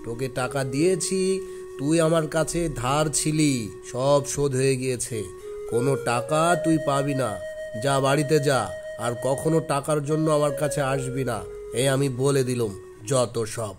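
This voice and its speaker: A man's voice: medium pitch, normal speed, music in the background, natural voice. A man voicing a greedy, crooked, bullying village merchant in a cartoon folk tale, with a blustering, scolding manner. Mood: scornful, angry.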